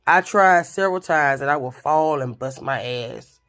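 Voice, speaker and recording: Raspy-voiced chatty woman. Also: low-pitched, moderate pace. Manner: chatty, expressive, addresses viewers directly. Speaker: vlogger. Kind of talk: casual storytelling to camera.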